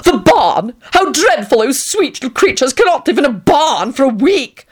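A woman's voice: sits high, big pitch swings, normal speed, pitched above the performer's own voice. A woman voicing a fussy, haughty male vampire who talks in a theatrical, sulky way in a storybook.

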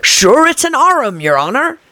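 A woman's voice: high voice, big pitch swings, normal speed, fairly bright tone, dry recording, voice pushed up, full character voice. A woman voicing a deferential male servant, in a storybook reading. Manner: deferential, pleading. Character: anxious Irish-sounding male servant Pat.

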